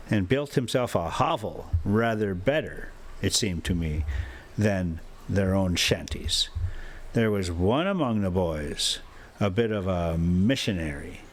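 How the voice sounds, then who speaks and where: A man hosting a travel vlog from inside a motorhome, rambling casually and reading a story aloud in a halting, folksy way. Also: on the low side. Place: inside a motorhome while driving.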